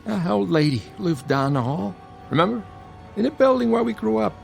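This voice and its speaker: A man acting in a radio drama as a beaten-up, protective older brother, streetwise and wisecracking, talking in a wry, halting way. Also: sits high, lively intonation, gravelly, darkish tone, faint music behind, exaggerated voice. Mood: reassuring, earnest.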